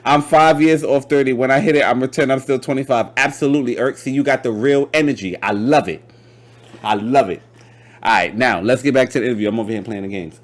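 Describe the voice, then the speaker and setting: A man's voice: mid-range voice, fast. Joking young man hosting a chat. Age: late 20s. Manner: slangy, joking, talks over guest. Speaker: host. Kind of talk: casual interview.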